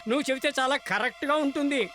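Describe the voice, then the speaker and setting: A man's voice: very high voice, rapid-fire. A man voicing a cheerful old grandfather in a children's cartoon. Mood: jovial. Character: cheerful old grandfather, keen amateur singer. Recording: music behind the voice.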